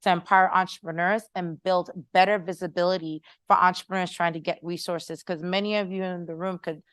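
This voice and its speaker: A woman's voice: fairly low pitch, steady tone, a little fast, fairly bright tone, clean tone, no echo. A middle-aged Haitian American woman with an American accent, a startup founder speaking persuasively on a conference panel. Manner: passionate, persuasive, audience-engaging. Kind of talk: panel discussion answer. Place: conference room with audience.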